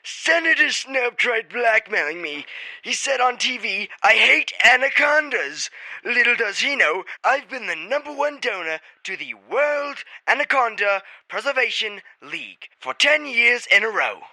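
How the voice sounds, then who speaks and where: Man as impatient city councilman, brusque, high, animated, dry sound, radio comedy. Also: a little slow, no echo, full character voice, rough recording. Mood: indignant hurried. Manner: brusque, urgent. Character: pushy, hurried, indignant male city councilman. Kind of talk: comedy radio theater acting.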